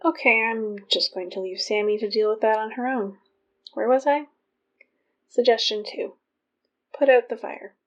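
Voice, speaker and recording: A woman playing an earnest, officious high school girl who reads morning announcements in a measured, matter-of-fact way for an audio drama. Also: fairly even intonation, dull audio, close to an everyday voice. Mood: measured, businesslike.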